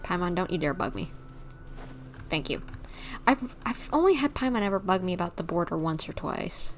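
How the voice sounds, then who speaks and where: A woman's voice: lowish voice, expressive, moderate pace, slight background noise. A woman game streamer giving casual, chatty, playful commentary on a livestream. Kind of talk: casual livestream gameplay commentary, reading dialogue choices aloud.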